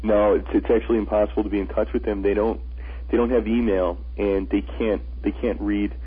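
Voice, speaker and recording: A man's voice: on the low side, flat delivery, fairly quick, slightly rough. A man who is the guest on a radio interview, an author and traveler telling his stories earnestly, often restarting his sentences. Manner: earnest storyteller, frequent restarts. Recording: tinny phone-line radio audio.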